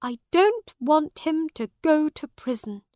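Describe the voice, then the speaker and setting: A woman voicing an anxious, soft-hearted mother who pleads for mercy in a storybook. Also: high voice, takes it slowly, no room sound, clean studio sound, voice pushed up. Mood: worried. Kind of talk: storybook character dialogue.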